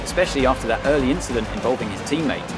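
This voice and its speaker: A man voicing a measured racing broadcast commentator in a video game. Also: mid-range voice, expressive, music in the background, natural voice, low-quality recording. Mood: measured, interested. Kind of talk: sports commentary.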